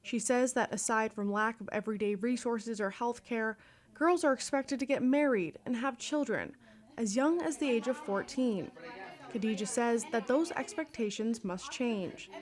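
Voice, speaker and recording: A young woman reporting a news feature, narrating calmly and deliberately. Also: a little high. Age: young adult. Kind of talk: news package voice-over.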